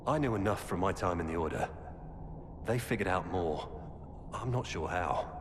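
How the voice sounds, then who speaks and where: An actor playing a weary, earnest former knight turned defector, giving grave, urgent warnings in a video game. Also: male voice, sits low, animated, moderate pace. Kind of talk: dramatic video game dialogue. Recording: cavernous reverb with loud background noise.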